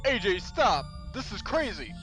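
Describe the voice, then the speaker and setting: Man acting a high-strung farm stallion. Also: sing-song, measured pace, over music. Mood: alarmed, angry. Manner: emphatic, pleading, rural phrasing. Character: agitated young male farm pony, protective big brother. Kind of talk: dramatised audiobook character voice.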